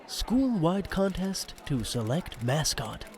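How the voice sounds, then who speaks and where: A man's voice: fairly high pitch, very animated, slow pace. A man narrating a scripted audio drama, describing the scenes.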